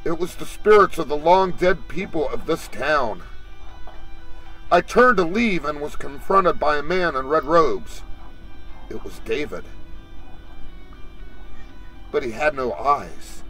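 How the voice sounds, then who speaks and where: A man playing a haunted Marine veteran who recounts a horror tale in a dramatic, halting way for an audio drama. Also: fairly high pitch, lively intonation, pushed and bright, soft background music, heavily acted, poor audio. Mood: horrified.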